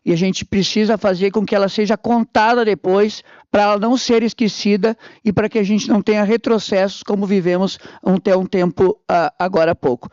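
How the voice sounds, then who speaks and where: A man's voice: sits high, fairly even intonation, quick pace, hardly any pauses, a little mellow, no echo. A man from Brazil, a public health master's student, giving earnest panel remarks with occasional stumbles. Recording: dry, dull lo-fi conference recording.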